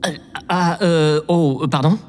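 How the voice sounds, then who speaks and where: A man's voice: a little high, slow pace. A man playing a timid, devoted and awkward presidential assistant in an audio drama, deferential and easily flustered, prone to stammering. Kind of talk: scripted audio drama acting. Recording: long reverb, clear background noise.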